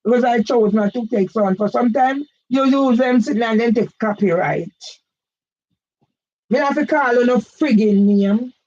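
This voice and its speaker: An older woman with a Jamaican accent, delivering an agitated, repetitive monologue for her own channel that rises now and then into shouts. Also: fairly high pitch, moderate pace. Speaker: vlogger. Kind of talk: impassioned monologue. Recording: dull lo-fi audio.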